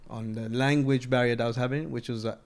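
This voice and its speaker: A young man from Colombia, chatting casually as an interviewee, relaxed and rambling with frequent laughs. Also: mid-range voice, quick pace.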